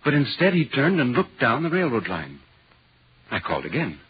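An actor playing a polite, inquisitive newspaper reporter recounting an eerie tale in dramatic 1940s radio style. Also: male voice, mid-range voice, huge pitch swings, normal speed, slightly rough, heavily acted. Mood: eerie narration. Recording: dull, hissy 1940s radio recording.

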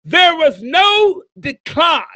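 A man in his fifties giving an emphatic motivational sermon, repeating phrases and building to shouts. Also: extremely high pitch, big pitch swings, slow pace, dry recording. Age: 50s. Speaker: motivational preacher. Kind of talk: sermon-style motivational speaking.

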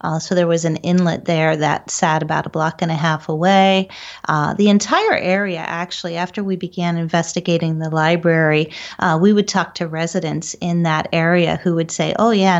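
A woman's voice: on the low side, dull audio. A woman speaking as an interview guest, recounting experiences in a conversational, explanatory way. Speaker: interview guest, paranormal researcher.

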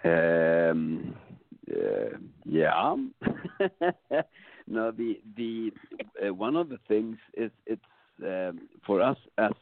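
A man with a Swedish accent speaking in an interview, searching for his words and restarting often. He talks very slowly at a middle pitch. The sound is dry and narrow, like a phone call. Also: very animated, gravelly, no room sound. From Sweden. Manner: slow, hesitant, restarts often. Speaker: band member.

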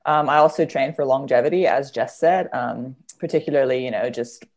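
Relaxed woman in her thirties. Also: a bass voice, a little fast. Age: 30s. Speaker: podcast guest. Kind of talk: casual group chat.

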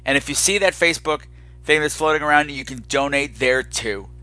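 A man with a bright, forward voice chats as a podcast host over music. His delivery is campy and rambling, with playful drama and a self-deprecating streak. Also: fairly high pitch, brisk, dry recording. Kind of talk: casual chatty solo podcast monologue.

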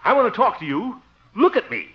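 A man's voice: sits high, wildly swooping pitch, brisk. An actor playing a blustery, exasperated, comically pompous small-town man in a 1950s radio comedy, sputtering and grumbling. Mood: impatient, exasperated. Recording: very noisy muffled old radio recording.

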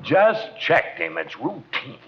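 An actor playing a stolid, well-meaning, awkward police chief in a 1940s radio comedy. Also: male voice, mid-range voice, huge pitch swings. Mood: matter-of-fact. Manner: halting, awkward, throat-clearing. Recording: muffled, faintly noisy 1940s radio.

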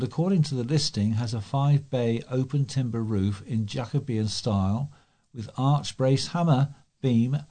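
A man reads a prepared local news and history script. His tone is very mellow and relaxed, at a middling pitch. The delivery is measured and deliberate, like a volunteer reader.

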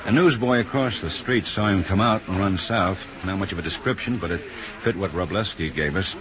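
An actor playing a steady, no-nonsense male police detective in a radio drama, with a plain, firm, businesslike manner. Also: low-pitched, brisk, natural voice. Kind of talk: 1950s radio drama acting. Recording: dull, hissy, noisy old radio recording.

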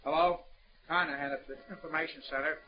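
An actor playing a matter-of-fact police desk sergeant in an old radio drama, speaking in clipped phrases. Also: male voice, on the high side, steady tone, quick pace, lightly acted. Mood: brisk, routine. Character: businesslike male police desk sergeant on duty. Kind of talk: 1950s radio drama acting. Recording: dull, noisy vintage radio recording.

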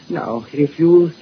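A man playing a kindly older clockmaker and father in a vintage radio drama, musing and hesitant as he talks. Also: a little high. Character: kindly elderly father, a clockmaker and shop owner. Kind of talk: 1940s radio drama acting. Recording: muffled, hissy old radio recording.

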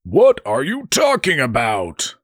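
Guy doing a cocky greedy young brother, big swoopy voice, slow and kinda husky. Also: medium pitch, huge pitch swings, a bit bright, dry recording, clean studio sound, voice pushed up, heavily acted. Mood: defiant. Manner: defiant, blunt, scolding. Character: brash greedy young male halfkin brother. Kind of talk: audiobook character voice.

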